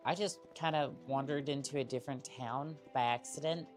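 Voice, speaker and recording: Very deep-voiced sick woman streamer. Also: soft background music. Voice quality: husky, strained voice. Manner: rambling, halting, many fillers and restarts. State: sick with a cough. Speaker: VTuber streamer. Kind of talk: casual live-stream chat while gaming.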